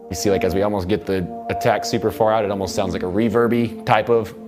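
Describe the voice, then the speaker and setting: Low-voiced man teaching audio production. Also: fairly even intonation, fast talker. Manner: informal, loose explaining. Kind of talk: casual hands-on software tutorial. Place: recording studio control room. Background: music playback from the session.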